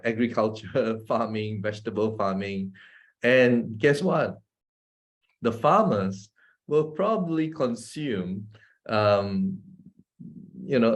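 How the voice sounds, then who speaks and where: A man, a doctor giving an informal health talk, chatty and constantly asking his listeners "right?" and chuckling between points. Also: fairly low pitch. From Malaysia. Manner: chatty, often asks the audience "right?" and "guess what?".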